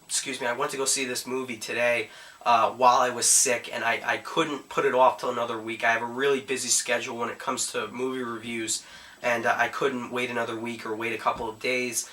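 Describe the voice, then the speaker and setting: A man's voice: mid-range voice, level tone, fairly quick, pushed and bright. A young man reviewing a movie in a casual, chatty way, full of fillers, while getting over a cold. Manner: chatty, frequent "guys" and "uh" fillers.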